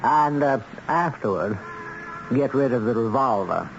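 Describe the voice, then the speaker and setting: A suave, coldly businesslike arranger of killings in a radio drama. He is courteous and measured, yet quietly in command. The sound is a dull old broadcast with a noisy background. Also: medium pitch, animated. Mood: calmly commanding. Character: smooth, polite, coldly businesslike man arranging contract killings.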